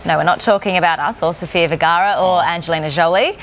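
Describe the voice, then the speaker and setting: A woman's voice: on the low side, steady tone, quick pace, a little hiss or hum. An Australian woman co-hosting a morning TV show, reading scripted links and joining in light banter. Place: TV studio.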